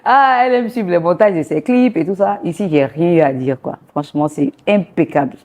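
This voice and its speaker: A young woman from Côte d'Ivoire, a singer talking casually in an interview, lively and quick to laugh. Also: lowish voice, a little hiss or hum. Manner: lively, laughs often, animated asides.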